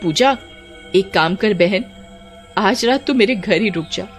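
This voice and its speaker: A woman playing a teasing, easily frightened young village woman in animated horror story dialogue, exclamatory and dramatic. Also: medium pitch, a little slow, faint music behind, close to an everyday voice. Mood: teasing, worried.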